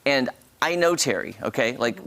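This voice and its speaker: Man, morning tv host, casual chat, laughs a lot, animated pitch. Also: moderate pace, creaky. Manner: chatty, laughs often, lively. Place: TV studio.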